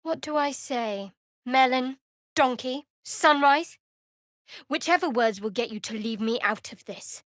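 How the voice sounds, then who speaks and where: A woman's voice: high voice, measured pace, no echo, studio quality. A woman playing a guarded, sardonic young female cleric in a fantasy video game, speaking in a cool, wry, clipped manner. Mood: exasperated.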